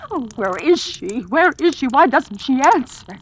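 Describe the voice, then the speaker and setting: A woman's voice: very animated, a little slow. An actress playing an imperious married American woman, terrified and alone, in melodramatic 1940s radio suspense style. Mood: anxious, suspicious. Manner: shrill, demanding, melodramatic, breaking into sobs. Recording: noisy old radio recording.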